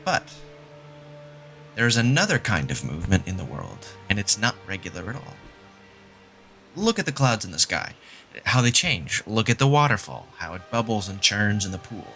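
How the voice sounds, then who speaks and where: Guy playing a smug doomy math genius in an audio drama, lowish punchy voice, lectures emphatically, music underneath. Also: big pitch swings, moderate pace, bright, forceful tone, full character voice. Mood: lecturing.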